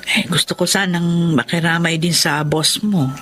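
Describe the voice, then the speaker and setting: A woman's voice: fairly low pitch, steady tone, normal speed, a bit bright, lightly acted. A woman playing a caring middle-aged mother in a Filipino audio drama, speaking in a motherly way with a Filipino accent. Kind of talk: Filipino radio drama acting. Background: music.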